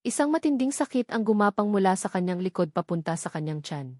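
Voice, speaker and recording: Woman, storyteller, scripted dramatic narration, mid-range pitch, close dry recording. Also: big pitch swings, fast, a little mellow, no room sound.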